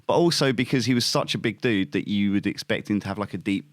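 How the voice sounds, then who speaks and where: A man's voice: lowish voice, fast talker, dry recording. A man co-hosting a podcast in casual chat, blunt and sarcastic with plenty of swearing. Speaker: podcast co-host.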